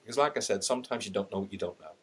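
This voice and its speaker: Middle-aged irish guy, low husky voice, lively storyteller who acts out what he thought. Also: rapid-fire. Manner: animated, acts out quoted thoughts with laughing asides. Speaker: podcast guest, sales and LinkedIn trainer. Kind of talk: candid conversational storytelling.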